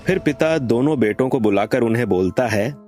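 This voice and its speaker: A male narrator reads a scripted moral tale in a middle-register voice. Soft music plays beneath the storytelling. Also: mid-range voice, lively intonation, moderate pace.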